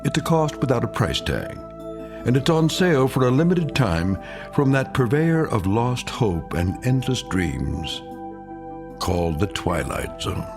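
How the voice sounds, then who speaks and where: A man narrating an eerie radio drama as a wry, ominous storyteller, with a deliberate, dramatic delivery. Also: mid-range voice, big pitch swings, over music.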